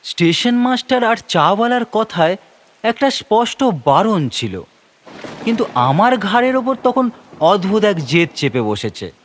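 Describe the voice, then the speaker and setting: A male storyteller delivers dramatic horror narration with great suspense. His highish voice rises and falls expressively, with faint rain and noise behind it. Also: sing-song, unhurried.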